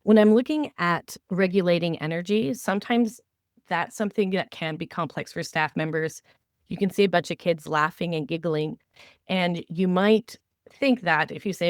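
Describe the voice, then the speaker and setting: Woman cohost chatting on a podcast, lower voice, dry sound, stop-start, repeats words while figuring out what she means. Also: no echo. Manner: halting, repeats words as she works out thoughts. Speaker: podcast co-host. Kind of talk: conversational podcast discussion.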